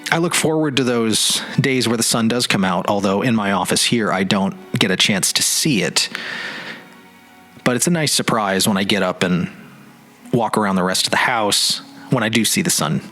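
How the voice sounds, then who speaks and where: A man's voice: lowish voice, moderate pace, sharp tone. A man hosting a solo podcast in a casual, reflective and rambling way, often searching for words. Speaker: podcast host. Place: home office indoors. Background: music.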